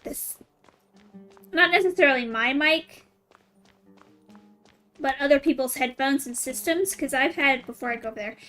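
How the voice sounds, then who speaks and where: Woman streamer, high voice, rambling casual commentary, faint game music behind. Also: a bit bright. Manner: rambling, chatty, self-conscious. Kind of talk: casual let's play commentary.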